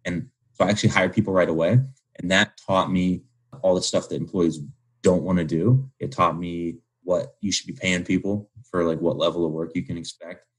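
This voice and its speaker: Husky, low-voiced young man. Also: fairly even intonation, moderate pace, poor audio. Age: 20s. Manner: informal slangy speech peppered with "like". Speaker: podcast guest, young entrepreneur. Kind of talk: casual, candid interview talk.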